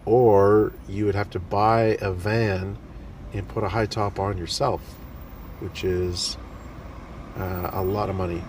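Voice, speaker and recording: A man with a stuffy head cold talks casually to his viewers in a low voice. He rambles, filling the gaps with ums and uhs. Faint traffic noise hums behind him. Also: little pitch movement, slow, a little mellow. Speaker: vlogger. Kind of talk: casual talk to camera.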